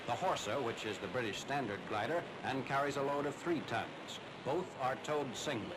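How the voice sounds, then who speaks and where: A man's voice: mid-range voice, animated, normal speed. A man narrating a 1940s military newsreel from a script in a formal, clipped, factual manner. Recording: noisy 1940s newsreel soundtrack, engine drone.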